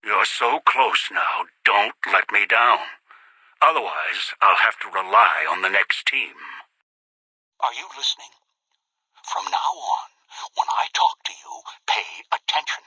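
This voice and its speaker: An older man voicing a menacing, controlling old taskmaster in a video game, raspy, with clipped, commanding, deliberate delivery. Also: fairly low pitch, bright, forceful tone, no room sound, exaggerated voice, rough recording. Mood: cold pressure.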